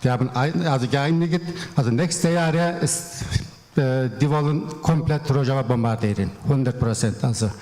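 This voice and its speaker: A woman making a contribution at a seminar, speaking halting, German. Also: rumbling low, warm tone. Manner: halting, non-native German. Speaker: audience member. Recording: echoey hall.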